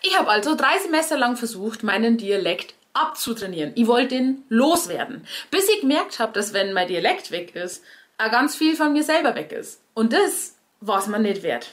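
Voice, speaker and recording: A woman's voice: on the high side, big pitch swings, moderate pace. A woman with a Bavarian accent explaining in a conversational, wry way, addressing her audience directly as a cabaret performer would.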